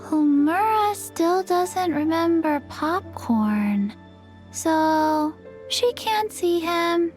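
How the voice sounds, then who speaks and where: A woman's voice: very high voice, expressive, very slow, a little mellow, exaggerated voice. A woman voicing a small, sleepy, mild child adventurer in a video game. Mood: subdued. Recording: music behind the voice.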